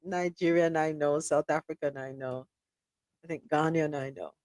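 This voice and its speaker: A woman's voice: low-pitched, steady tone, normal speed, darkish tone, no room sound. Teasing woman, dry close sound. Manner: teasing, joking banter. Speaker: podcast co-host. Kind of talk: casual news chat.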